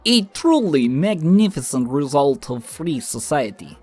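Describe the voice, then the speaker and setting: A man's voice: a little high, very animated, a little slow, darkish tone, slightly rough, some chatter behind, heavily acted. A man playing a proud, mournful Soviet bomb scientist in a comic dub, declaiming his lines. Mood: mock-lofty.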